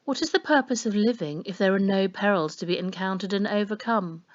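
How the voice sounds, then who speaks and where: A woman voicing a gentle, solemn fortune-teller in a storybook, murmuring slowly and knowingly. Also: mid-range voice, dull audio, close to an everyday voice. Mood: solemn, prophetic. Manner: gentle, murmuring, slow and portentous. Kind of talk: children's storybook reading.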